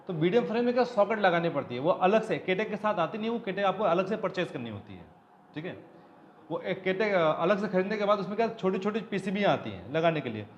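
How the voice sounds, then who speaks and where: Guy w a high voice walking thru a repair job, asks himself stuff then answers it, kinda muffled audio. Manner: explains step by step, asks and answers his own questions. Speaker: automotive electronics trainer. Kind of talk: hands-on technical tutorial. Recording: slightly dull recording, faint background noise.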